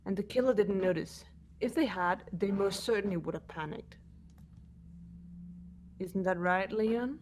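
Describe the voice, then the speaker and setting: A woman's voice: mid-range voice, a little slow, pauses often, a little mellow, a little hiss or hum, close to an everyday voice. A woman voicing a composed, incisive female student who explains evidence methodically in an amateur game dub. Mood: accusing, calm. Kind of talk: amateur voice acting in a visual novel playthrough.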